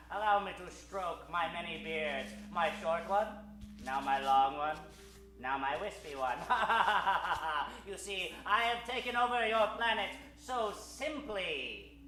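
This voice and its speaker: A man's voice: lively intonation, slow, sharp tone, full character voice. A man playing a gloating, bearded alien emperor villain from Venus in an improvised old-time radio comedy, with theatrical delivery. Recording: echoey, boomy room with faint music.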